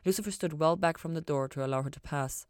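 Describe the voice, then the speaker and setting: A woman narrates a story audiobook-style. Her voice sits quite low, and the recording is very dry and close, with no sense of room. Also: fast talker, darkish tone, smooth, no room sound. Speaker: fan fiction reader.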